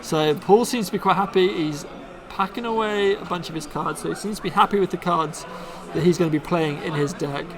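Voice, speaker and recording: A man's voice: sits high, a little fast, few pauses, crowd noise. A man from Bristol doing upbeat casual commentary on a card game stream, reading card text aloud. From Bristol, England.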